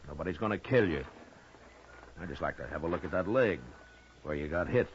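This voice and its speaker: An actor playing a steady, compassionate frontier marshal in an old time western radio drama. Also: male voice, low-pitched, brisk, slight background noise. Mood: calm, reassuring. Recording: muffled old radio recording with music.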